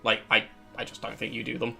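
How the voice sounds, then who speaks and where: A man's voice: medium pitch, completely flat delivery, fast, creaky, pushed and bright. A young man hosting a live stream in a casual, rambling way, his voice cracking as he loses it. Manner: rambling, self-correcting, chatty. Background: faint background music.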